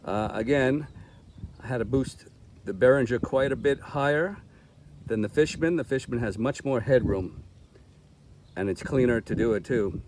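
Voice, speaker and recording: A man's voice: mid-range voice, normal speed, long pauses, darkish tone, a little hiss or hum. A man giving a casual, plainspoken gear review vlog outdoors in a park, explaining things as he goes.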